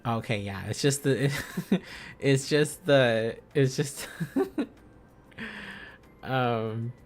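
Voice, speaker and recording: A man's voice: mid-range voice, expressive, drawn-out words, soft background music. A man streaming a casual lesson, musing aloud and repeating phrases and syllables as he works out letters and words. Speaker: streamer learning Korean script on a live stream.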